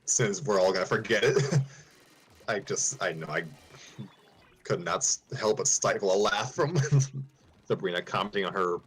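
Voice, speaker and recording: Man, low voice, casual discussion, podcast host. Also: normal speed, long pauses, fairly bright tone, breathy edge.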